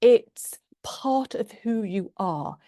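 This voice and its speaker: A woman with a British accent, Norwegian her first language, teaching a live online love-coaching class in an earnest, gentle way. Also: a little high, lively intonation, drawn-out words, dry recording, studio quality. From England.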